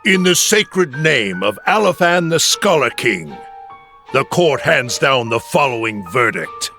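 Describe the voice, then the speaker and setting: An actor playing a stern, formal judge in a game, proclaiming in a measured, ceremonial way. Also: male voice, mid-range voice, sing-song, gravelly, pushed and bright, soft background music, heavily acted. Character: stern, formal male judge passing sentence. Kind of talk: dramatic game dialogue.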